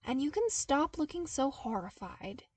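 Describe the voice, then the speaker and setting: Young male ex-smuggler played by a woman narrator, defensive, plain-spoken, unhurried, for audiobook dialogue. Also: high voice, very animated, a little mellow, no room sound, voice pushed up. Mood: calm, dry. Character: young man, defensive former smuggler, calm and matter-of-fact.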